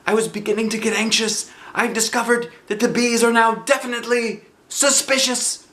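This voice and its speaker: A man voicing an earnest, anxious, silly old toy bear with solemn, drawn-out phrasing in a children's storybook. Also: extremely high pitch, fairly even intonation, sharp tone, echoey room, pitched above the performer's own voice. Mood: earnest, scheming. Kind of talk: children's storybook read-aloud.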